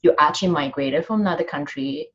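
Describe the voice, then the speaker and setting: Woman host, very low voice, hesitant questions, muffled lo-fi recording. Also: quick pace. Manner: hesitant, stammering questions with many fillers. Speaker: host, interviewer. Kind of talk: interview questions. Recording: dull, muffled lo-fi sound.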